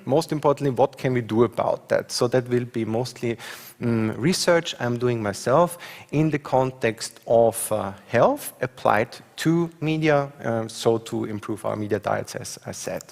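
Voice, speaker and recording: A man with an Austrian accent lectures from a stage, his mid-pitched voice carrying a gravelly edge. He talks hesitantly, full of filler sounds, and often checks in with his listeners. The sound rings with a long reverb, as in a large lecture hall. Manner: hesitant, many fillers, asks "yeah?" to check in with the audience. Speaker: university professor. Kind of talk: conference talk with slides.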